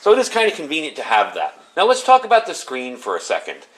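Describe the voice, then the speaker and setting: A man's voice: fairly high pitch, wildly swooping pitch, fast talker, fairly bright tone, low-quality recording. A man giving a casual, unscripted product demonstration in a plain-spoken, explanatory, conversational way. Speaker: product reviewer.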